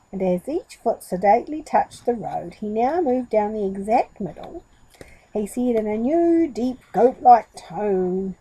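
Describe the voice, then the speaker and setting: A woman storyteller giving an expressive narration of a story for children. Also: mid-range voice, breathy edge, dry recording, poor audio.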